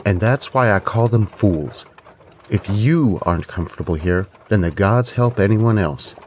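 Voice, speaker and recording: A lowish male voice from an actor plays a wry agent in an audio drama. He talks in a chummy way over faint noise. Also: animated, unhurried.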